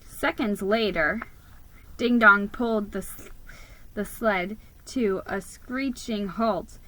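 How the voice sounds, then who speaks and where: A woman giving a theatrical, expressive dramatic reading of a children's story. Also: medium pitch, slow pace, low-quality recording.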